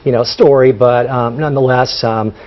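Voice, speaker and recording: A man who is a guest on a TV interview, speaking haltingly with many fillers and restarts. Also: mid-range voice, steady tone. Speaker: guest, son of honored general. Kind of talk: TV studio interview. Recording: dull broadcast audio.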